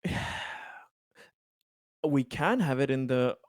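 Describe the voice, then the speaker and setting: A man's voice: mid-range voice, expressive, extremely slow, no echo. Male game player, mid-range voice, casual and stop-start, recorded dry. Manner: hesitant, stop-start phrasing.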